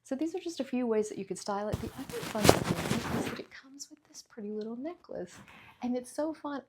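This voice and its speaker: A woman's voice: on the high side, lively intonation, brisk, heavy background noise. A woman, chatting casually through a clothing try-on haul and laughing often. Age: 50s. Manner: chatty, laughs often, self-deprecating asides. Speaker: fashion vlogger.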